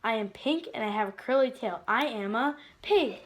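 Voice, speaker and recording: A woman reading a simple children's book aloud in a measured, deliberate way. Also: on the high side, expressive. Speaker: picture book reader.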